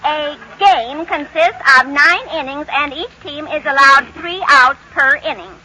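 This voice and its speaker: An actress playing a dutiful housemaid in an old radio sitcom, speaking in a deliberate, instructive, matter-of-fact way. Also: female voice, high-pitched. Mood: schoolmarmish. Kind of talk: 1940s-50s radio sitcom acting. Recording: vintage radio, faint noise.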